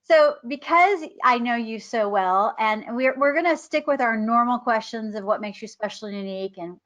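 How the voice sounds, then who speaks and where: A woman's voice: fairly high pitch, expressive, moderate pace, fairly bright tone, highs cut off. A woman in her fifties hosting an interview show, bubbly and chatty. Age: 50s. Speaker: host. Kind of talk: casual interview chat.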